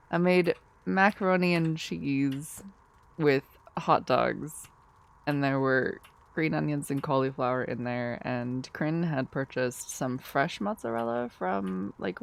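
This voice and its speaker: A woman's voice: deep voice, measured pace, creaky. A woman streamer just woken from a nap, chatting drowsily with her viewers in a sleepy, giggly, rambling way. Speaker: live streamer. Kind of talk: casual live-stream chat, answering chat.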